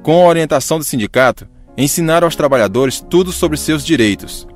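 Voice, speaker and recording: A man's voice: fairly high pitch, lively intonation, a little fast, faint music behind. A man with a Brazilian accent reading scripted narration for a radio drama.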